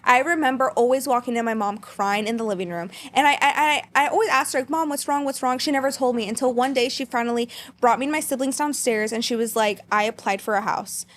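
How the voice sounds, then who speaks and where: A woman's voice: on the high side, fast talker. A young woman from Texas, a podcast guest, telling candid stories about growing up in a casual, chatty way. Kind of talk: casual storytelling.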